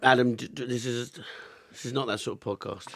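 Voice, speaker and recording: An English man hosting a podcast, asking questions in an easygoing, casual way with quick reactions. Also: medium pitch, steady tone, creaky, a little hiss or hum. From England. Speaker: podcast host. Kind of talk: casual interview chat.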